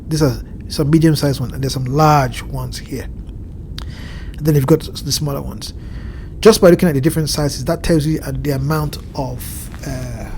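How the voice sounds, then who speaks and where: Nasal, congested man with a dark voice. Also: on the high side, slight background noise. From Southern Hemisphere. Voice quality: slightly nasal. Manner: measured, explanatory, with frequent ums and restarts. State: blocked nose from a cold. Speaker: crypto market analyst. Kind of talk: screen-share chart walkthrough. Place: home at evening, indoors.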